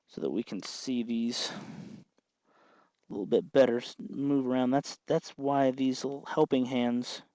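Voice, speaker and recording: A man with a medium-pitched voice talks through a hands-on task as a tutorial host. His delivery is informal and instructive, as if half talking to himself while he works. The sound is dry, with very little room echo. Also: measured pace, dark tone. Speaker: host of a DIY electronics tutorial.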